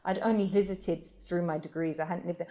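A woman's voice: lowish voice, fairly even intonation, brisk, warm tone. A woman in her thirties, a professional belly dancer, telling her life story frankly and emphatically in an interview, often restarting her sentences. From England. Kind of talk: candid personal storytelling in an interview.

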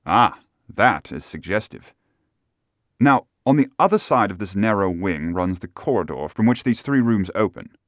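A man voicing a shrewd, courteous gentleman detective with a brisk, authoritative manner in an audiobook. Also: lowish voice, animated, no room sound, clean studio sound, natural voice. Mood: brisk, probing.

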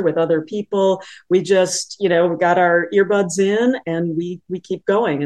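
A woman's voice: lowish voice, a little mellow. A woman interviewing a guest on a podcast in casual, tentative talk, rephrasing as she goes.

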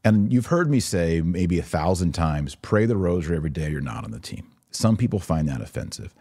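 A man's voice: low voice, sing-song, brisk, warm tone, husky. A middle-aged man giving religious commentary as a podcast host, earnest and emphatic, stressing key words as he addresses viewers directly. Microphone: webcam setup.

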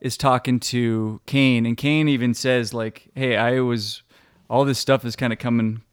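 A man's voice: mid-range voice, fairly even intonation, measured pace, clean tone, no echo, studio quality. A man co-hosting a movie podcast, chatting casually and agreeing often while adding details. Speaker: podcast co-host. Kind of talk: casual movie recap chat.

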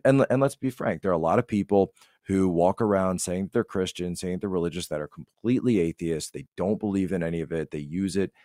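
Man, deep voice, dry close sound, emphatic commentator, conversational, repeats phrases for effect. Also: a little mellow, no echo. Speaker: podcast guest and political commentator.

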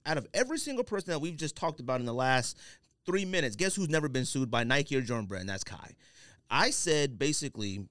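A man hosting a podcast in casual banter, talking in an animated, slangy, emphatic way. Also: mid-range voice, no echo, clean studio sound. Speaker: podcast host.

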